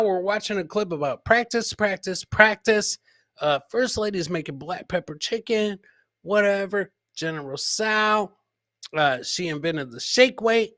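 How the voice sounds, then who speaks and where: A man's voice: high-pitched, a bit bright, no echo, clean studio sound. A man hosting a live comedy show, riffing on video clips in a boisterous, jokey way and repeating catchphrases. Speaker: podcast host.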